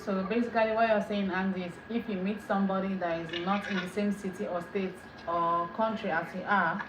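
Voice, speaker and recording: Woman host running the chat, brisk and prompting, roomy sound with background noise. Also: medium pitch, little pitch movement. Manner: brisk, prompting, with frequent "okay" and "mm-hmm". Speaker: discussion host. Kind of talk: moderating an online group chat. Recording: echoey online call with background noise.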